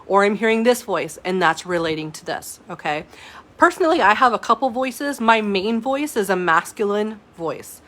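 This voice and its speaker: A woman's voice: medium pitch, lively intonation, normal speed, fairly bright tone. A woman in the middle of her own range teaching a live online class on spiritual coaching. She talks in a loose, chatty way, full of fillers and asides to the people watching. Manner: casual and chatty, many fillers and asides to viewers. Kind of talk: live-stream teaching, reading chat comments.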